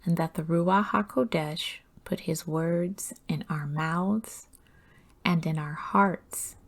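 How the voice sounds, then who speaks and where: Slow, gravelly-edged woman reading scripture. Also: fairly low pitch, fairly even intonation. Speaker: host reading scripture aloud. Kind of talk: devotional audiobook-style scripture reading.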